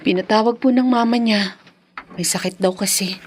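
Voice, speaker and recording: A woman's voice: mid-range voice, normal speed, breathy edge, natural voice. A Filipino woman acting as a tender, anxious young mother in a radio drama, cooing and meek. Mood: apologetic, worried. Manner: soft cooing, meek and worried.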